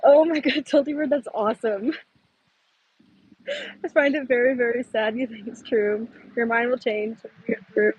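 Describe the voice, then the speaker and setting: A woman's voice: on the high side, steady tone, moderate pace, darkish tone, slightly rough, muffled recording. A woman live-streaming from a phone on a gimbal, chatting casually with her viewers with lots of fillers and giggles. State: a little thirsty. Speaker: livestreamer.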